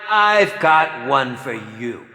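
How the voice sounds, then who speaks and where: An actor voicing a pompous, campy, sadistic horror film director for a video game, with condescending, drawn-out theatrical delivery. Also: male voice, mid-range voice, sing-song, pushed and bright, reverberant, big-room sound, soft background music, heavily acted. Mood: smug, theatrical. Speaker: game announcer.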